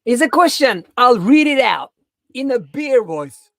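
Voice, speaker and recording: A woman's voice: on the high side, big pitch swings, unhurried, creaky, a bit bright, no echo. A woman co-hosting a casual live stream, playfully interjecting and passing along questions from the chat. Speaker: co-host reading out chat questions.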